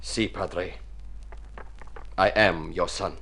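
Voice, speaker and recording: Low-voiced, uneasy young cowpuncher. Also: male voice, steady tone, extremely slow, bright, forceful tone, full character voice. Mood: rueful, hesitant. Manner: hesitant, plain-spoken, laconic. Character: uneasy young Texan cowpuncher posing as heir. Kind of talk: radio drama acting. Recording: noisy old radio drama recording.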